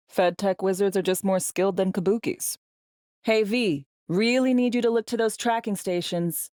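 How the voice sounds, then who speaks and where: A woman acting a brisk, sardonic, foul-mouthed veteran secret agent in video game dialogue, with dry, clipped, conversational phrasing. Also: on the low side, a little mellow, no room sound, natural voice. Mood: wry, confident, then urging.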